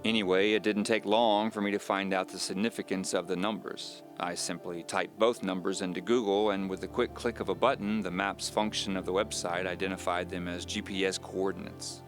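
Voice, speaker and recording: A man playing an uneasy man recounting his own mystery in measured, confiding, scripted audio drama narration. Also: deep voice, fairly even intonation, soft background music, close to an everyday voice. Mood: wary, resolute.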